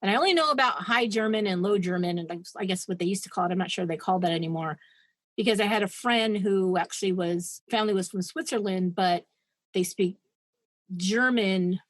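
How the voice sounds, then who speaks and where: A woman's voice: medium pitch, fairly quick, no echo. A woman co-hosting a podcast, retelling a true-crime story in a chatty, digressive way and laughing at her own asides. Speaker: podcast co-host.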